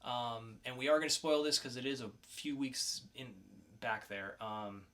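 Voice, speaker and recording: Male podcast co-host, bright tone, casual comic-review delivery, conversational with punched announcements. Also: mid-range voice, normal speed.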